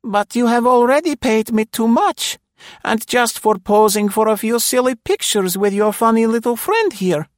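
A male narrator voicing a plump, flustered middle-aged woman in a spoken story, in a high falsetto. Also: animated, normal speed, no room sound, clean studio sound. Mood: eager. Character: plump middle-aged female Polish landlady-type, flustered and eager.

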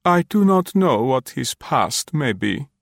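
A man voicing an elderly woman companion who speaks in a slow, reproving way. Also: medium pitch, big pitch swings, creaky, dry recording, studio quality, voice pushed up, exaggerated voice. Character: fussy elderly female companion, disapproving.

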